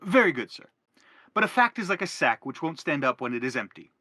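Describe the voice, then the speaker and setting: A man's voice: a little high, very animated, brisk, pushed and bright, no room sound, studio quality, full character voice. An actor playing a middle-aged father in a stage play, formal and declamatory as he anguishes and justifies himself. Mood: self-justifying. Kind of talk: theatrical stage play acting.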